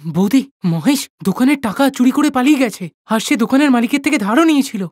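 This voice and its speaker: A woman's voice: sits high, expressive, quick pace, background noise. A woman voicing an urgent village man who brings bad news in a cartoon story.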